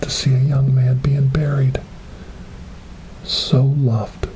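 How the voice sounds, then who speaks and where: A man performing an ASMR monologue as a gentle, grieving storyteller in a witch-trial roleplay, speaking softly and haltingly in a breathy, often whispered voice close to the microphone. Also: mid-range voice, completely flat delivery, a little hiss or hum, close to an everyday voice, poor audio. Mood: grieving, tender. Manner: slow, halting, earnest, soft-spoken. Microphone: close binaural microphone.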